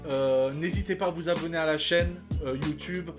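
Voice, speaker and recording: A man's voice: on the high side, steady tone, moderate pace, over music. A man in his thirties giving casual reaction commentary, talking in slang with lots of sighs, fillers and restarts. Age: 30s. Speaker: reaction channel host.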